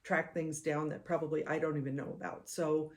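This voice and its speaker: A woman's voice: low voice, level tone. Soothing middle-aged woman, casual chat. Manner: soothing, measured. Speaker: podcast co-host.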